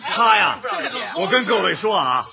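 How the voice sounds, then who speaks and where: An actor playing a pompous, teasing older teacher who objects to his wife's plans in a light comedy radio drama, with theatrical, deliberate delivery. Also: high-pitched, very animated, vocal fry, a crowd in the background, heavily acted. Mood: pompous, objecting. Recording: dull sound with faint noise.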